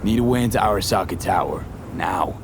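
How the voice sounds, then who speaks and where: Low-voiced, weary young mercenary man. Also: brisk, vocal fry, noisy background. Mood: urgent, serious. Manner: terse, clipped street slang. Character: gravely ill young male mercenary, weary but stubborn. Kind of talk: naturalistic video game acting. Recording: loud music over dialogue. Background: loud music.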